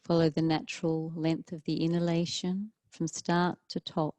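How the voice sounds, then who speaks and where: A woman teaching yoga leads a guided relaxation, calmly and gently, leaving long pauses between short phrases. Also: low voice, no room sound. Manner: calm, soothing, gentle instruction with long pauses. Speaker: yoga teacher leading a class.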